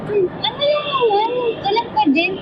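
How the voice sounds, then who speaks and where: A woman's voice: extremely high pitch, animated, normal speed. A young Filipino woman chatting casually as a passenger, giving short, polite, reserved answers through an intercom on a motorcycle. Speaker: passenger, call center worker. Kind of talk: casual chat. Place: outdoors on a motorcycle in traffic. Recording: muffled intercom mic, loud road noise. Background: loud vehicle and street noise.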